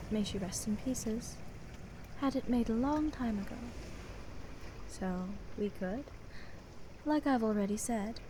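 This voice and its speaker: A woman playing an aloof, polite sea witch merchant in a slow ASMR roleplay, speaking formally in a deadpan monotone. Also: mid-range voice, noisy background, natural voice. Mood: composed, courteous.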